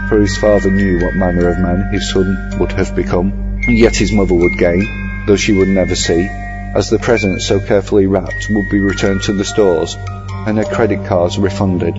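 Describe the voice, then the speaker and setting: Deep-voiced male horror narrator over music. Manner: measured, literary storytelling. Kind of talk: scripted horror story narration. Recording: dull audio, prominent music bed.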